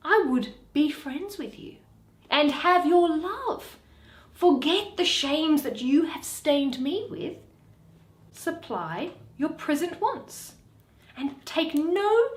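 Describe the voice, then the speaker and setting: Woman playing a bitter, sardonic moneylender, theatrically drawn-out. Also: high voice, sing-song, pitched above the performer's own voice, exaggerated voice. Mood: slyly friendly. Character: Shylock, bitter, sardonic, wronged older male moneylender. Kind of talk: dramatic Shakespeare reading. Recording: roomy, echoing.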